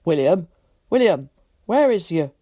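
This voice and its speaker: A woman voicing a gruff, blunt old countryman in a storybook reading. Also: mid-range voice, expressive, moderate pace, dark tone, lightly acted.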